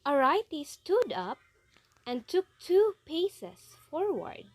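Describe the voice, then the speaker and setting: A woman's voice: sits high, big pitch swings, slow pace, slight background noise. A woman narrating a story expressively for a reader's theatre.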